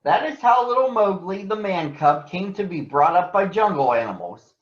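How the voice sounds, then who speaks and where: A man voicing a protective panther who narrates a storybook jungle tale in a measured, earnest way. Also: high-pitched, very animated. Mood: earnest storytelling. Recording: roomy echo, dull lo-fi sound.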